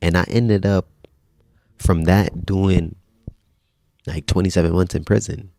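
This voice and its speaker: A man in his thirties telling his own life story in a relaxed, conversational way as a podcast guest. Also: low-pitched, a little slow, gravelly, dry recording. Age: 30s. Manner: relaxed, conversational, frequent restarts and religious phrases. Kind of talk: personal storytelling in casual conversation.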